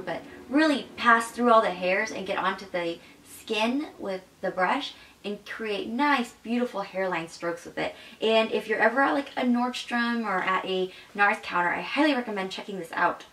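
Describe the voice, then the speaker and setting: A woman's voice: medium pitch, a bit bright, far from the mic. Young woman beauty vlogger, bubbly and gushy, roomy home recording, set back from the mic. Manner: bubbly and gushing, demonstrating makeup while talking. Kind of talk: casual product review. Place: home makeup room indoors.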